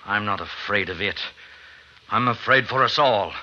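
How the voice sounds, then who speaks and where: A man acting in an old radio drama as a fearful, moralizing friend, pleading in a halting, urgent way. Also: on the low side, sharp tone. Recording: muffled vintage radio recording.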